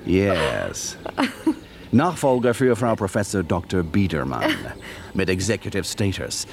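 A smug blackmailer. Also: male voice, deep voice, sing-song, a little slow, vocal fry, background noise, exaggerated voice. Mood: mocking. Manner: mocking, mixes English phrases into German. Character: smug male corporate spy, cynical blackmailer. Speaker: industrial spy. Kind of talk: radio drama acting.

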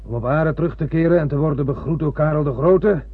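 An actor playing an imperious, unyielding spaceship commander in a radio drama, scornful and theatrical. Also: male voice, on the high side, fast, dry recording. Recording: husky, tinny phone-like old radio recording.

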